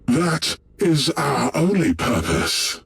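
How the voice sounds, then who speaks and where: A proud, cryptic alien seer from a video game. The voice is gravelly and very animated, pronouncing each phrase slowly with solemn, fateful weight. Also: male voice, a little high, full character voice. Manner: slow, portentous. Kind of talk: dramatic video game cutscene acting.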